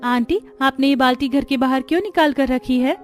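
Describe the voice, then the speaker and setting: A woman acts out a resourceful young daughter-in-law in a village cartoon. Her voice is high, and she explains things earnestly and practically. Light music sits behind it. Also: brisk, lightly acted. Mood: curious.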